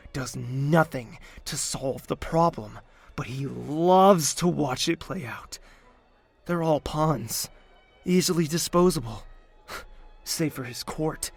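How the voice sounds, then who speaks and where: A man acting as a brooding, calculating young prince in a fantasy audio drama, speaking in a guarded, measured way. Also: a little high, husky, exaggerated voice. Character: brooding, calculating young prince under his father's thumb. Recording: music behind the voice.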